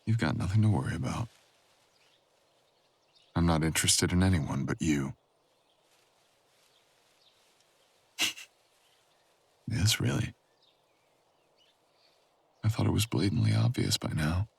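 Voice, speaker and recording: Man playing a very deep-voiced brooding supernatural boyfriend. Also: animated, measured pace, creaky, pauses often, husky, no room sound, full character voice. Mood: amused, tender. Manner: intimate, teasing, drawling. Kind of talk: boyfriend ASMR audio roleplay.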